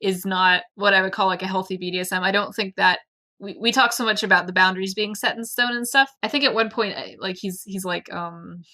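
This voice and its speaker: A woman's voice: mid-range voice, fairly even intonation, a little fast, bright, forceful tone. A woman co-hosting a podcast in casual book discussion, with wry, mocking commentary. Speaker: podcast co-host.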